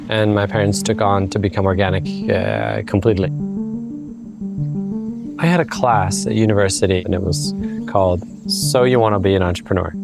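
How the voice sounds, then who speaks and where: A man's voice: lowish voice, creaky. A man in his thirties with an American accent, speaking reflectively as a farmer in a documentary interview. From United States (born in Brazil, raised in the US). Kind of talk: documentary interview, explanatory storytelling.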